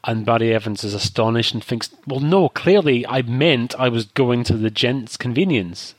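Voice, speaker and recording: A man's voice: mid-range voice, normal speed, no room sound. A man co-hosting a casual chat show, talking in long thoughtful asides. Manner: discursive, thoughtful, many asides. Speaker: podcast co-host.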